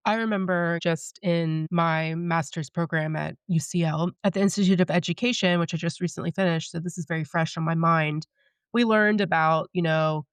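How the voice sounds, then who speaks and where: A young woman hosts the conversation with an enthusiastic, affirming manner. Her voice has a gravelly edge yet stays smooth and resonant, and the recording is dry. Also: low-pitched, no room sound. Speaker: podcast host. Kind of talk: interview questions, conversational.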